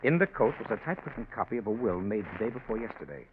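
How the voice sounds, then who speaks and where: An American man playing a formal, deliberate detective and lawyer in a 1940s radio drama, on a tinny, hissy recording. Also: fairly low pitch, expressive, lightly acted. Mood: businesslike. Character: detective and attorney, cool, insinuating, occasionally flustered man.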